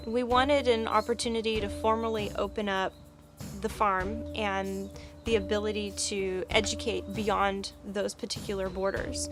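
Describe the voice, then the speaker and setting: A woman from an educational farm talks in a measured, reflective way for a documentary. Her pitch sits in a middle range. Background music plays clearly under her. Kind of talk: documentary interview.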